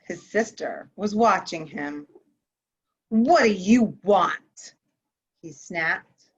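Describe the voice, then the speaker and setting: A woman's voice: mid-range voice, husky. A woman guest reader on a children's story show, reading a picture book aloud with expression. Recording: muffled lo-fi audio.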